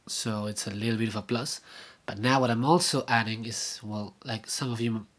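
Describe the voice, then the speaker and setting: A man's voice: on the low side, fairly even intonation, fairly bright tone. Argentine man rambling in a casual update. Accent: Argentine Spanish. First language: Spanish. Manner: rambling, halting, with many fillers and restarts. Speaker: vlogger and podcaster.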